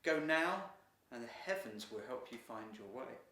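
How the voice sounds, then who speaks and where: A man's voice: mid-range voice, very animated, unhurried, bright, forceful tone. A man voicing a wise, stern heavenly guardian lion in a storybook, speaking with solemn admonishment. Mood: stern, admonishing. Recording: roomy echo, distant from mic.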